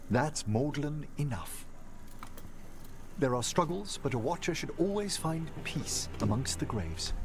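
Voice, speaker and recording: Game casting: a refined older male necromancer, formal and measured, slow delivery, music behind. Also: a little high. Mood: gracious. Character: refined older gentleman necromancer, courteous and wistful. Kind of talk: video game dialogue acting.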